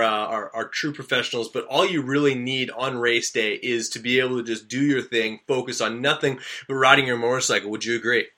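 A Canadian man hosting a motocross podcast and interviewing a guest, energetic but full of uh fillers and restarts. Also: medium pitch. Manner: energetic, hesitant, many uh fillers. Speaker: podcast host.

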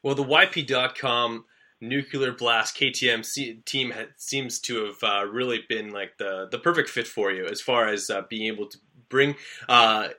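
A Canadian man hosts a motocross podcast and interviews a racer. His voice is bright and forceful, at a medium pitch. He talks with energy, but he stumbles through frequent uh fillers and restarts. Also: moderate pace. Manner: energetic, hesitant, many uh fillers. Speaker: podcast host.

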